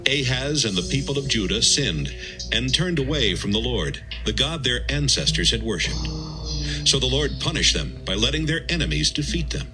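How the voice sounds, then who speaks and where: A man narrating a scripted, dramatised Bible account as a measured, solemn storyteller. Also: medium pitch, low-quality recording. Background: music.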